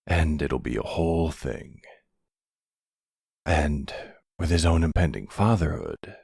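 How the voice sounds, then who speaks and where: A man playing an older mafia boss, a protective and tender lover, speaking soothingly in an intimate ASMR boyfriend roleplay with a gravelly, breathy voice. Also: very deep voice, a little mellow, no echo, studio quality, heavily acted. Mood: calm, resolute. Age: middle-aged. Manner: soothing, unhurried, full of pet names.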